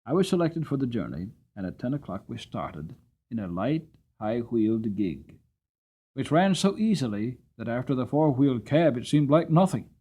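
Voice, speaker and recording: A gentle old-fashioned carriage horse tells his life story , voiced by a man. The storybook delivery is earnest and expressive, with lively swings in pitch. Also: normal speed, slightly rough. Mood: fond, contented. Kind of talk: dramatic storybook narration.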